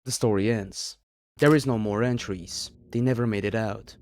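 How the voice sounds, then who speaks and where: A man's voice: on the low side, normal speed, darkish tone. A man narrating a scripted horror story as a video narrator, in a dramatic storytelling manner.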